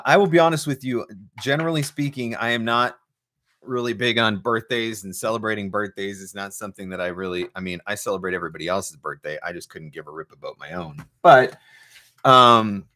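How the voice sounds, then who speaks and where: A man's voice: mid-range voice, fairly bright tone. A man hosting a casual, off-the-cuff livestream as a coach, chatting informally with a playful, teasing streak. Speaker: livestream host and voiceover marketing coach. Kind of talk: casual live chat, off the cuff.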